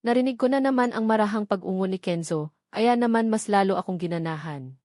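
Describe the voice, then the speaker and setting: Female audiobook narrator for a first-person romance novel, mid-low pitch, very dry sound. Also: a little fast, a little mellow, no echo.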